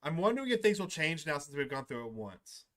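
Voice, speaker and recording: A gaming YouTuber reading visual novel dialogue aloud in an expressive way, reacting along and sometimes stumbling over long words. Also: medium pitch, a little fast.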